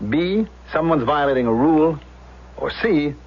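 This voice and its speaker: Very raspy, officious fire chief. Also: male voice, a little high, expressive, normal speed. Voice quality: husky, raspy. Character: self-assured small-town fire chief being interviewed. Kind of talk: 1970s radio drama acting. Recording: muffled vintage radio broadcast.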